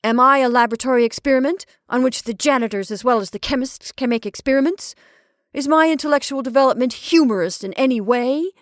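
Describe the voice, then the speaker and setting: A woman's voice: high voice, brisk, dry recording, pitched above the performer's own voice, close to an everyday voice. Earnest nerdy young guy lecturing, a woman reading him, precise and formal, dry close sound. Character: earnest pedantic young male prodigy scholar.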